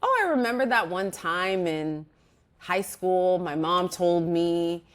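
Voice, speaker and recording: A woman with a lowish voice speaks as a podcast guest. She talks in a relaxed, reflective way, circling back and restarting her thoughts with plenty of "like" and "you know.". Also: slow pace. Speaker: guest, organizational psychologist. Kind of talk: casual interview conversation.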